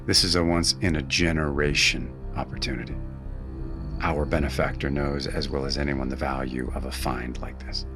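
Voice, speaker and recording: An actor playing an embattled, stubborn middle-aged starship navigator in a science fiction audio drama. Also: male voice, a bass voice, fairly even intonation, normal speed, music in the background. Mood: guarded, weary.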